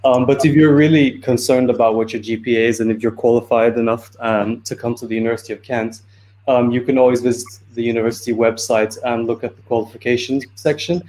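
Man, 20s, student presenter from Turkey. Medium pitch, fairly flat intonation, informal webinar talk with frequent filled pauses. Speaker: student speaker. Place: indoor room on a video call. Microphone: video call microphone.

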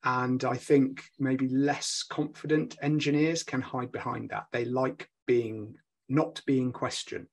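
A man in his forties makes an earnest, persuasive case on a video call from a home study. His voice is gravelly and a little husky, at a middle pitch. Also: fairly even intonation, slow pace. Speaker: engineering lecturer, panelist. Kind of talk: panel discussion.

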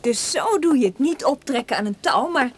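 A woman's voice: high voice, expressive, normal speed, slight background noise. A woman playing a bold, teasing stepdaughter in a radio drama, coaxing and playful.

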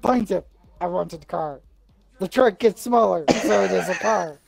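Slow, gravelly man reading a script. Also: high voice, loud music behind the voice. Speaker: script reader on a livestream. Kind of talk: reading an absurd courtroom comedy script.

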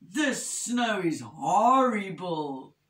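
Woman acting a slow, moaning big cave bear. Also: fairly low pitch, wildly swooping pitch, a bit bright, exaggerated voice. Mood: gloomy moaning. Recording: roomy echo, distant microphone.

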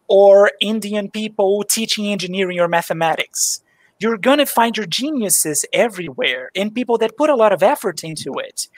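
A man's voice: sits high, dry recording. A man in his twenties from Brazil, a native Portuguese speaker and English teacher, talking earnestly on a video call through a headset. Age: 20s. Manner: talkative, earnest, explains with sayings and examples. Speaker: English teacher, interview guest. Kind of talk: casual video call chat. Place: small room indoors.

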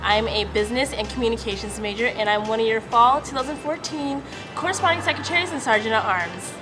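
A young woman from California giving a lively self-introduction outdoors as a club officer. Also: on the high side, fast. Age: young adult. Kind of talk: short self-introduction to camera. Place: outdoors by trees. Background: music playing.

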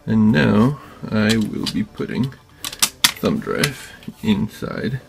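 A man hosting a craft tutorial, talking informally and haltingly as he thinks aloud while working. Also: lowish voice, lively intonation, vocal fry, noisy background. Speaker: DIY maker and video host. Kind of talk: casual hands-on tutorial narration. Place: indoor craft workbench.

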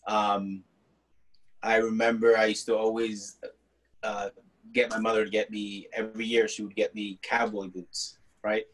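A man in his forties, a native Spanish speaker, talks in a low-ish voice and takes his time, drawing out each story. He is an artist speaking over a laptop webcam from a room at home, easygoing and quick to laugh. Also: poor audio. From born in the US, raised partly in Guatemala. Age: 40s. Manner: unhurried, laughs easily, expressive. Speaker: artist guest. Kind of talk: relaxed conversational storytelling. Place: home room with colorful paintings on the wall. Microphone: laptop webcam video call.

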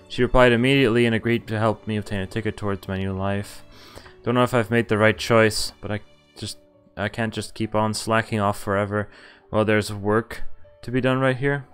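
A man's voice: on the low side, steady tone, brisk, clean tone, soft background music. A young man streaming a visual novel, reading the narration aloud and cutting in with wry, exasperated asides. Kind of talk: casual reading with commentary.

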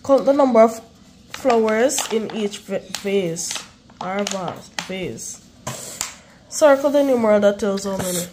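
Stuffy young mom, patient and encouraging. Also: female voice, medium pitch, animated, a little slow, warm tone, a little hiss or hum. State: stuffy with the flu. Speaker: mother and vlogger. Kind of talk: casual home vlog, helping a child with homework. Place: at home.

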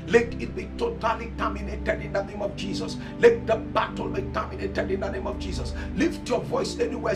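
A middle-aged man with a Nigerian accent preaching and issuing commanding, repeated decrees in a studio. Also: high-pitched. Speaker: evangelist. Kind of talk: preaching and declaring prayers. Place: indoor studio set. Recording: distant mic over backing music.

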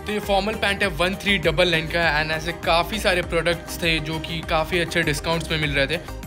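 Casting: young male vlogger, Indian accent, fairly high-pitched, casual bilingual shopping narration over clear background music. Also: steady tone, brisk. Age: young adult. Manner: mixes English words and phrases into his speech.

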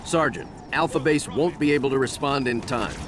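An actor playing a calm, commanding starship captain in a video game cutscene. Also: male voice, mid-range voice, moderate pace, background noise, natural voice. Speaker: ship captain. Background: music.

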